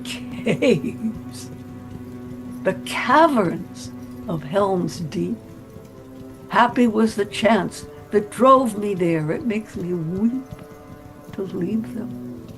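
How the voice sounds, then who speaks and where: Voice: medium pitch, expressive, over music. A reader giving voice to a rapt, lyrical dwarf. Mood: wistful. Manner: slow, lyrical and heartfelt. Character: male dwarf, rapt, moved by beauty.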